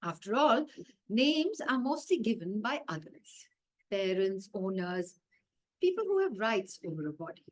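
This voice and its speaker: A woman with an Indian accent reading a children's story aloud in a measured, expressive way, with dramatic emphasis and pauses. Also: mid-range voice.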